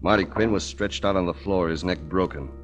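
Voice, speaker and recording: Deep hard-boiled American radio detective, played by a man. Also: brisk, lightly acted. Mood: wry, dramatic. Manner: hard-boiled, wry, dramatic storyteller. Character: tough, wry male private investigator narrating his own case. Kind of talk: 1940s radio detective drama acting and narration. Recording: 1940s radio recording with music.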